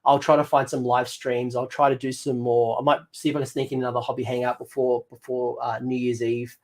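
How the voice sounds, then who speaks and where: Hoarse man rambling on a hobby stream. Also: medium pitch, level tone, fairly quick, darkish tone. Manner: rambling, thinks aloud with many ums and restarts. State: losing his voice. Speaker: livestream host. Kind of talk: casual hobby livestream chat.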